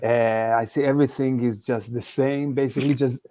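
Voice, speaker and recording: A man with an Israeli accent and Hebrew as his first language, doing a deadpan stand-up set over a video call with halting restarts. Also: medium pitch, no room sound. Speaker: stand-up comedian.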